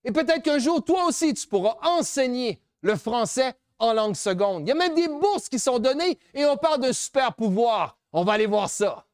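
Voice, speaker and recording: A middle-aged man with a New Brunswick French accent giving an animated motivational speech, asking rhetorical questions and switching between French and English. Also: very high-pitched, moderate pace, few pauses, dry recording. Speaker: keynote speaker on French-language education.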